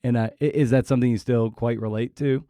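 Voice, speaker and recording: A man hosting a casual interview podcast, reflective and halting as he thinks aloud. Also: mid-range voice, steady tone, dark tone, no echo.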